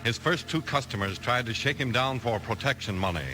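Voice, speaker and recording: A man's voice: mid-range voice, fairly quick, background noise. A man delivering scripted 1950s radio announcements with a polished, enthusiastic sales manner. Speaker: announcer and ad pitchman.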